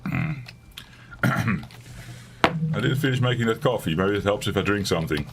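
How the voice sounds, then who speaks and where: A man with a raspy voice streaming a survival game from home, chatting casually and rambling in a self-deprecating way. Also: lowish voice, animated, unhurried, background noise. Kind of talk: casual let's-play commentary.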